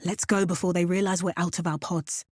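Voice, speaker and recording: A woman voicing a watchful, wry fantasy adventurer and spellcaster for a video game, in measured, dramatic delivery. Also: on the low side, flat delivery, a little mellow, dry recording, studio quality, natural voice. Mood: musing, wry. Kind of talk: scripted video game voice lines, exploration and combat barks.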